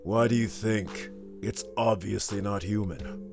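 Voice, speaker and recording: A dismissive middle-aged businessman, played by a man with a gruff, creaky voice. He speaks slowly and curtly, full of scorn, like a cartoon grouch. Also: on the low side, steady tone. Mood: gruff, scornful. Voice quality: gruff, gravelly. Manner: curt, scornful. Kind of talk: animated comic dub. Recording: music behind the voice.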